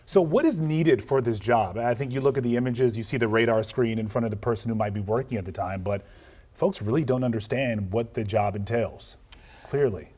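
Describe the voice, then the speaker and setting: A man's voice: medium pitch, fairly quick, darkish tone. A man interviewing a guest on a TV news programme in a conversational style.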